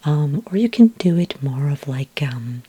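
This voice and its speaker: A woman speaking softly and breathily, close to the microphone, in a gentle, unhurried ASMR roleplay as a patient expert advising a beginner. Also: deep voice, lively intonation, dry recording. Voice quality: soft, breathy, mostly whispery. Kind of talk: soft-spoken ASMR roleplay, conversational advice to the listener. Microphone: close microphone, dry sound.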